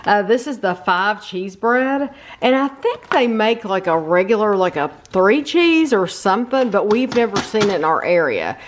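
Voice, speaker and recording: A woman's voice: medium pitch, normal speed. Chatty woman in her forties. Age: 40s. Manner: chatty, animated, laughs easily. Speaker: vlogger. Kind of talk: casual vlog chat. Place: in a car, then a store and a restaurant. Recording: noisy car and store background.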